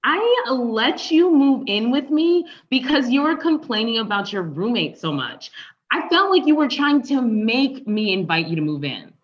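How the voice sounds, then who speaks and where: Actress as sarcastic wounded ex, very animated mid-range voice, pointed conversational delivery, slightly muffled recording. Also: female voice, on the high side, unhurried. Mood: sarcastic, hurt. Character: wry, confrontational, wounded ex-girlfriend. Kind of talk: conversational table read acting. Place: online live stream table read.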